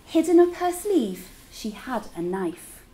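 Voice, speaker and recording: A woman's voice: on the high side, very animated, slow pace, dark tone, a little hiss or hum. A woman teacher reading a story aloud to children in a dramatic, engaging way. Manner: dramatic, engaging listeners with questions.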